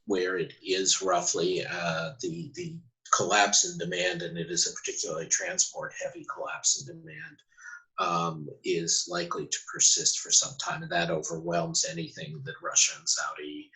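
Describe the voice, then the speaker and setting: Very deep, bright, forceful male analyst. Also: rough recording. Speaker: expert panelist. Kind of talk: analytical commentary in a question-and-answer session.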